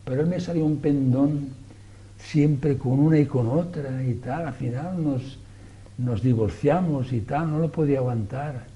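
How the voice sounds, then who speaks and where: A man's voice: medium pitch, unhurried, dark tone, highs cut off, close to an everyday voice. A man voicing a gossipy jilted woman. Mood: complaining.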